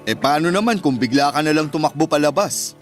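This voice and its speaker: A man's voice: fairly high pitch, lively intonation, a little fast, faint music behind, lightly acted. An actor playing a chummy, joking male office workmate with a Filipino accent, bantering colloquially in a radio drama. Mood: friendly, joking.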